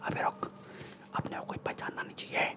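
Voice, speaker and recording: A young man playing a swaggering, bullying Mumbai gangster in a breathy ASMR whisper, with slangy, boastful Mumbai Hindi speech, close to the microphone. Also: fairly quick, pushed and bright, natural voice. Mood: scheming. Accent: Mumbai Hindi street slang. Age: young adult. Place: small room indoors. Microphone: close desktop condenser microphone.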